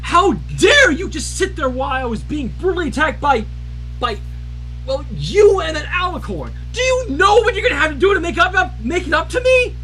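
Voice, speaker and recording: An angry young male unicorn, whiny and self-important, played by a story reader. His voice is pitched high and swoops wildly, as in a read-aloud cartoon fan story. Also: sing-song, normal speed, sharp tone, faint music behind, heavily acted, low-quality recording. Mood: furious. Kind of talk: dramatic fan fiction reading.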